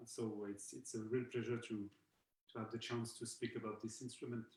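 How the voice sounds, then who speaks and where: A man from eastern Algeria talks in a medium voice, halting and restarting often as he chats. He is on a video call from an echoey room. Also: medium pitch, level tone, darkish tone. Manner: hesitant, many restarts and fillers. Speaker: percussionist guest. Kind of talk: casual online chat.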